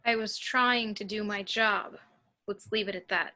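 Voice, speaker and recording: A woman's voice: mid-range voice, fairly even intonation, a little slow, sharp tone. A woman playing a firm, by-the-book warrant officer in a sci-fi table read, speaking insistently. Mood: guarded. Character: firm, rule-bound female warrant officer on a spaceship. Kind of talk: amateur screenplay table read.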